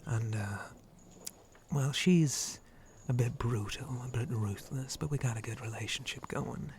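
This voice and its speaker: Slow, whispery shark pirate played by a man. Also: medium pitch, darkish tone, slight background noise, close to an everyday voice. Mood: instructive, easygoing. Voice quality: breathy, often dropping into whisper. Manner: rustic pirate dialect, hesitant drawl, teasing. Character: flirty, roguish male shark-monster pirate, lowborn, reassuring. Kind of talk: whispery ASMR roleplay.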